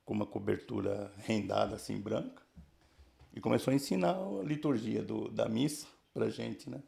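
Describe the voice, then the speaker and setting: Older São man, 60s, gravelly and dark-toned, halting testimony with constant tag questions. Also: lowish voice. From Brazil, São Paulo region. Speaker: church member giving testimony. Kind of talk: casual life-story telling.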